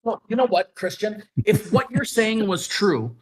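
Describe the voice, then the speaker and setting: A man's voice: sits high, very animated, a little slow, a crowd in the background, poor audio. A man calling into a heated live debate, insistent, repeating his demand and talking over the host. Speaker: debate caller.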